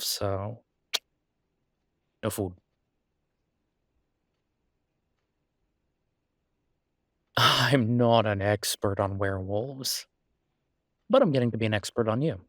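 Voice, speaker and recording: Caring, laid-back boyfriend played by a man for intimate roleplay audio: slightly deep, conversational, soothing, very dry recording. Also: no room sound, lightly acted. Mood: tender, reassuring. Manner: casual, hesitant, gently reassuring. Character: caring, easygoing human boyfriend of a werewolf, reassuring.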